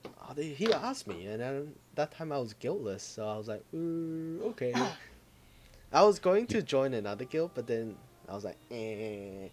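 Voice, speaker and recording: A man co-hosting a casual gaming podcast, relaxed and offhand, swearing casually and trailing off while he hunts for words. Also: medium pitch, a little slow, a little hiss or hum. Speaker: podcast co-host.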